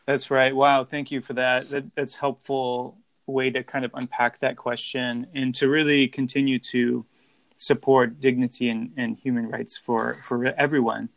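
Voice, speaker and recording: Gravelly guy interviewer, mid pitch, says thanks a lot, dry close sound. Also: flat delivery, moderate pace, no room sound. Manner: affirming, thanks the guest before asking. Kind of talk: conversational interview.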